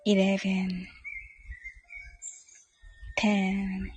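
Woman, slow-paced, over music. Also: mid-range voice, completely flat delivery, darkish tone, slightly rough. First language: Japanese. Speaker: host of an English-learning mindfulness audio show. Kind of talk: bilingual guided meditation, then casual live chat with listeners.

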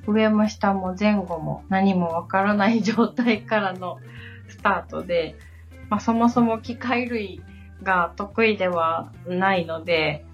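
A woman in her thirties chatting on a podcast as the assistant, polite, halting and reflective. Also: medium pitch. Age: 30s. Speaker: podcast assistant and guest learner. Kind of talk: casual podcast conversation. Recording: dull lo-fi sound with background music. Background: background music.

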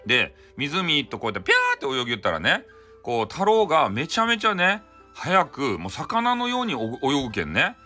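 Male storyteller, Hakata dialect, chatty, very lively intonation, bright voice, music bed. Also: fairly high pitch, a little fast. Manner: chatty, many tag particles. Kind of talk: informal, humorous folktale retelling.

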